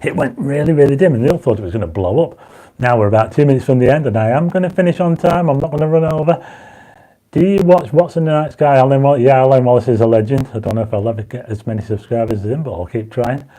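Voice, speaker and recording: Casting: man, croaky dry-mouthed livestream host, mellow tone, chats with viewers. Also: mid-range voice, fast talker, poor audio. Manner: reads viewer comments aloud, urges likes; enthusiastic when explaining stars. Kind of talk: casual livestream chat. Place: home astronomy studio.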